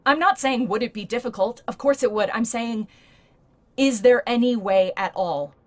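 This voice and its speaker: An actress playing a shrewd, blunt journalist in a naturalistic audio drama, speaking frankly and persuasively. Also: female voice, on the high side, moderate pace, lightly acted. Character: shrewd, blunt female journalist negotiating a risky deal.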